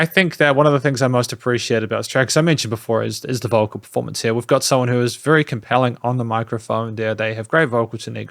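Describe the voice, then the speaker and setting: A man's voice: mid-range voice, gravelly, a bit bright, dry recording. Male music reviewer, casual and rambling, many restarts; close, dry mic sound. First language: not French.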